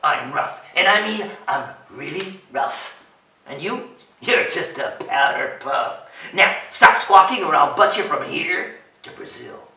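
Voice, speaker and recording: Man doing a forceful, jeering bully goat. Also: a little high, animated, slow, exaggerated voice. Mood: menacing. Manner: taunting, forceful, snarling. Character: gruff, jeering bully billy goat, mean and boastful. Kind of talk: children's storybook read aloud. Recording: roomy, distant microphone.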